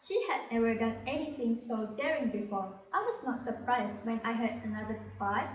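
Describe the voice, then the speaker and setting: Recorded at a distance in an echoey room, a young woman narrates a scripted story. Her voice sits a little high. There is faint noise in the recording. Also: normal speed.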